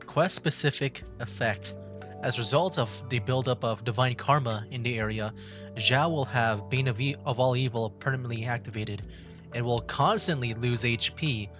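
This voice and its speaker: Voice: medium pitch, normal speed, fairly bright tone. Chill streamer muttering along, mid voice, bit of game music. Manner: offhand and chatty, muttering reactions. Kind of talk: casual gameplay commentary.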